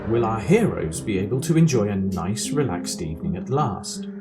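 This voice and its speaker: A man's voice: on the low side, very animated, moderate pace. A man playing a mock-suspenseful narrator, reading the scripted cliffhanger of a radio serial. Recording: loud music behind voice.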